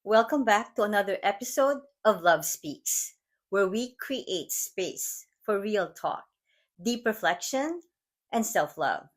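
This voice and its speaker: A woman from the Philippines with a Filipino accent, hosting her podcast in an earnest, measured, reflective monologue. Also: medium pitch, animated. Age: adult mom. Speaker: podcast host. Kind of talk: scripted motivational monologue.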